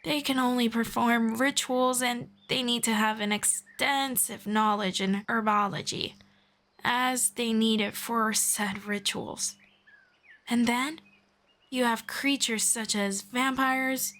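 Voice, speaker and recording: A woman voicing a patient, gently formal female elf friend in an ASMR roleplay, speaking softly and politely. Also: fairly high pitch, fairly even intonation. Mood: calm, patient. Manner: soft, unhurried, politely formal.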